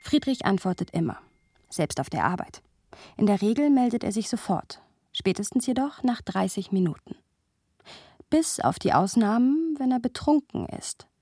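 A woman's voice: fairly high pitch, sing-song, moderate pace, warm tone, slightly rough, no room sound, clean studio sound, full character voice. An actress playing a wry, playful young woman who narrates memories of a friend in an intimate radio play style.

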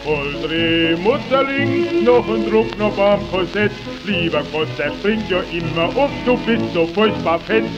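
A high-pitched man sings a comic song at an unhurried pace. His delivery is jaunty and humorous. The sound is the dull, muffled tone of a 1930s record, with loud music behind him. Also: expressive. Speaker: singer.

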